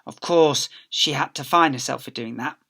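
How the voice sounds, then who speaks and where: A man reads a children's story aloud in a bright, punchy voice. He is a teacher performing for pupils, leaning theatrically into the key words. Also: medium pitch, normal speed, gravelly, slightly rough. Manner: theatrical emphasis with acted sound effects. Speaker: teacher reading aloud to schoolchildren. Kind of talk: animated storytelling.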